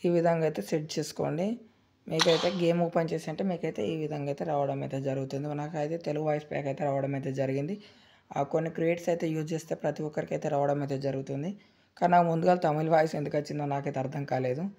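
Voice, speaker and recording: Rapid-talking gaming tutorial host. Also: male voice, on the high side, fairly even intonation, vocal fry, darkish tone. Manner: rapid, repetitive filler-heavy explaining. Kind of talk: screen-recorded game tutorial.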